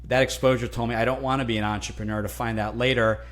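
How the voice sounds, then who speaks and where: A man from New Jersey whose first language is Italian, speaking as a guest in a casual interview, animated and often restarting words. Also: medium pitch, fast talker. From New Jersey, USA. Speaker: guest, franchise consultant.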